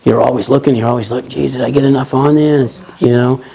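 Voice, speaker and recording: A man in his forties with a Boston accent, a truck driver chatting casually in an interview, relaxed and rambling with lots of "you know". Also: mid-range voice, quick pace, creaky, slightly rough, poor audio. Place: office lounge, indoors.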